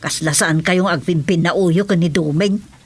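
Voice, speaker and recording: A woman's voice: fairly low pitch, normal speed, husky. An actress with an Ilocano Filipino accent playing a gossipy middle-aged village mother in a radio comedy drama.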